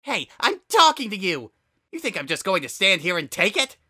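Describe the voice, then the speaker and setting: A high shrieky nasty little flower monster, cackling and taunting, way up high. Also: male voice, sing-song, slow pace, sharp tone, full character voice. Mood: furious shrieking. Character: small menacing flower creature, shrieking and spiteful.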